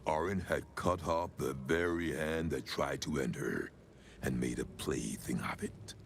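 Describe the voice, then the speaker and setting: Ancient sinister cult elder, video game, heavy gravelly rasp, slow solemn menace, faint noise. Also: male voice, full character voice. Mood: dark reverence. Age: older. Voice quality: very deep gravelly voice. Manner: solemn, measured, archaic phrasing. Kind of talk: dramatic video game monologue.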